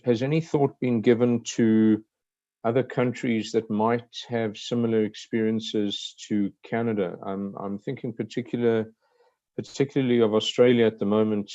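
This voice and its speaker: A South African man speaks in a low, flat voice, hesitating and restarting as he asks his questions. His tone is warm and mellow. The audio is dry and dull, like a video call. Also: no echo. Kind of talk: asking questions in an online meeting.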